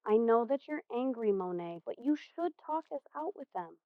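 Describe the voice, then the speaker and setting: A woman playing a caring, patient high school counselor in a stage play, speaking in a gentle, earnest, measured way. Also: lively intonation, no echo. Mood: gently firm. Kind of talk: amateur stage play acting. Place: theater stage. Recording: muffled, dull stage recording.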